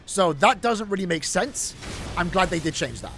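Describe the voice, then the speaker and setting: A man's voice: high-pitched, expressive, normal speed, a bit bright. A man hosting a gaming video, commentating casually on gameplay with music behind his voice.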